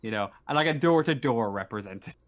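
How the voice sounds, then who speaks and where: A man's voice: mid-range voice, expressive, fast. A man casually improvising as game master on an online call, hesitant and thinking aloud as he explains things.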